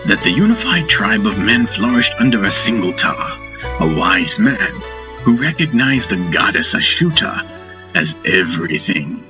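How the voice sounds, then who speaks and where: A man reading a first-person fantasy audiobook in a measured, dramatic storytelling manner, with music behind him. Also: on the low side, big pitch swings, rough recording. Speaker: audiobook narrator.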